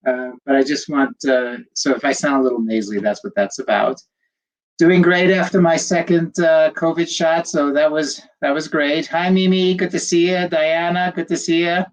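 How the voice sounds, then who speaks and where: A man's voice: on the high side, steady tone, moderate pace, poor audio. An older man speaks with a slight nasal tone from a cold. He talks in a chatty, rambling way, full of ums and uhs. He greets viewers before settling into a medical talk over a livestream computer mic. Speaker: fertility doctor giving a livestream lecture.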